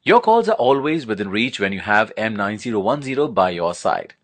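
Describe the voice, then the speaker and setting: A man's voice: on the low side, sing-song, brisk. A man narrating a scripted product demonstration, explaining things step by step in an instructional manner.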